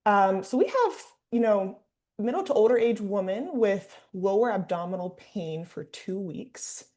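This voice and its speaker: A woman's voice: mid-range voice, expressive, measured pace, dark tone. A woman clinician talking through a case over a video call, thinking aloud with fillers. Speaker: clinician discussant. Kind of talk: teaching discussion.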